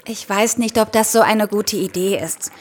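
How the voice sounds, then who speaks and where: An actress playing an earnest, hesitant young herbalist's apprentice in an audio drama, speaking tentatively. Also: female voice, medium pitch, fairly even intonation, normal speed, close to an everyday voice. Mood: hesitant, worried. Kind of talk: German audio drama acting.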